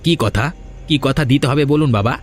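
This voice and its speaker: A man's voice: medium pitch, fairly quick, faint music behind, natural voice. A man acting a domineering cartoon elder brother. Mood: questioning, respectful. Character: domineering, resentful elder brother in a village family. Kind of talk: Bengali fairy-tale cartoon dialogue.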